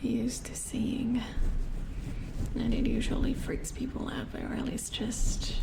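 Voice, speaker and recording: Slow whispering female robot repair engineer acted by a woman. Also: a little high, full character voice, low-quality recording. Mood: quietly amused. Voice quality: breathy whisper. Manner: slow, halting, musing explanations. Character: calm, amused female robot repair engineer, patient explainer. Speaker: ASMR performer. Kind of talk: soft-spoken ASMR roleplay.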